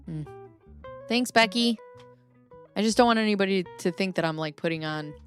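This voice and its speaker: A woman's voice: medium pitch, moderate pace, soft background music. A woman hosting a live stream, reading messages aloud and chatting back to her viewers by name.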